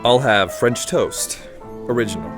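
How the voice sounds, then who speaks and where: An actor plays an unsure man on an awkward date in a podcast drama. His voice is medium in pitch and stilted, with music behind it. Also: a little slow, natural voice. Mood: awkward, nervous. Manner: stilted, hesitant. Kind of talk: audio drama acting.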